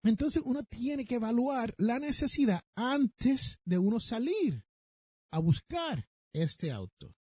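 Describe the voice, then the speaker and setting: A Puerto Rican man presents a podcast monologue in a high voice that swings widely up and down. The tone is husky and soft-edged. He speaks emphatically, addressing listeners directly and dropping in English terms. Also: very animated, slow pace, dry recording. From Puerto Rico. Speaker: personal finance podcast host. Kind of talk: podcast monologue giving money advice.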